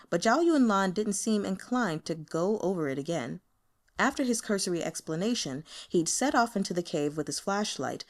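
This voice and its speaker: A woman narrates fiction in an expressive audiobook style. Her pitch is lively and varied as she reads. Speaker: audiobook reader.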